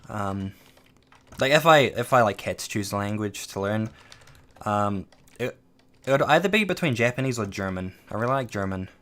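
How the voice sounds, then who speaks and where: A man's voice: on the low side, a bit bright. A man streaming a game, chatting casually with lots of ums and trailing asides to his chat, on a desk microphone at home. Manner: rambling, many ums, reads and answers chat.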